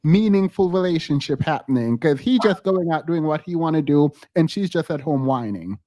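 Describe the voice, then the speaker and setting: A man's voice: a little high, animated, normal speed, dark tone, no echo. A man hosting a casual chat, rambling with fillers and reading viewer comments. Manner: rambling with many fillers, laughs easily. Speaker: podcast host reading comments.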